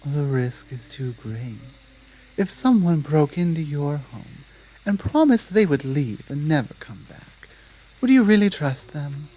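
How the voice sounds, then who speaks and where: A woman playing a dominant, menacing female werewolf pack leader in a slow roleplay ASMR drama, speaking deliberately and with taunting command. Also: low voice, big pitch swings, dark tone, full character voice, poor audio. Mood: taunting, firm.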